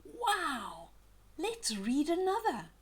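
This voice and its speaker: A woman's voice: high-pitched, wildly swooping pitch, very slow, a bit bright, voice pushed up, heavily acted. A woman voicing a young, friendly boy monster, eager and exclamatory, in a children's storybook read-aloud. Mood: excited.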